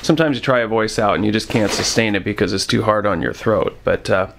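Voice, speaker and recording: A man's voice: on the low side, quick pace, creaky, a bit bright. A man giving informal, encouraging instructional narration as a puppetry teacher, in a conversational way.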